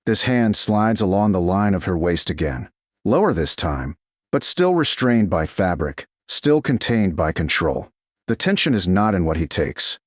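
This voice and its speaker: A man narrating a scripted romance story in a measured, deliberate, dramatic way, phrase by short phrase. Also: low-pitched, no room sound, studio quality. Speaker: audiobook narrator.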